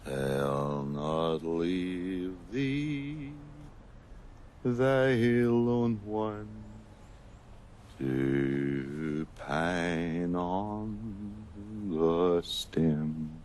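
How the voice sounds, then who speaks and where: A man's voice: sits low, very slow, a little mellow, a little hiss or hum. An actor playing a solemn, mournful man reciting old verse in a game.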